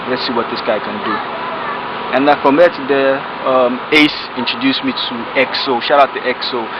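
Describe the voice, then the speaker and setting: Laid-back young Nigerian rapper outdoors. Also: male voice, medium pitch. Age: young adult. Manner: laid-back, rambling, slangy. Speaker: rapper guest. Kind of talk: casual interview. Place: outdoors in a residential compound. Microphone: camera held close. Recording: dull audio with outdoor noise.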